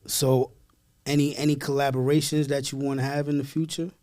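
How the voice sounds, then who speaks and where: A man's voice: medium pitch, flat delivery, fairly quick, dark tone. An American man asking questions as an interviewer in an informal, slangy, conversational way. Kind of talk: casual interview chat.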